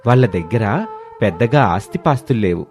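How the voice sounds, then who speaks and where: A man's voice: fairly low pitch, expressive, moderate pace, a little mellow. A man reading scripted narration of a moral story as the storyteller. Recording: music behind the voice. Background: background music.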